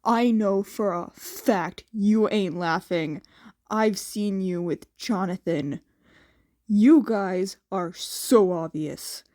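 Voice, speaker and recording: A woman's voice: medium pitch, slow, warm tone, pitched below the performer's own voice, natural voice. A woman voicing a weary, teasing teenage older brother with a dry, casual manner in a story.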